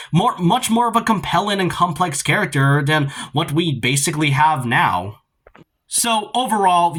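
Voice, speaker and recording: Animated, bright-voiced man giving casual commentary. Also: fairly high pitch. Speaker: video essay host. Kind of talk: casual opinion commentary on a video game.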